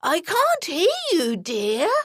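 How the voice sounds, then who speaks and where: A woman voicing a sly male wolf who imitates an old granny in a drawn-out, testy falsetto for a children's story. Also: wildly swooping pitch, fairly bright tone, dry recording, studio quality, full character voice. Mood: coaxing.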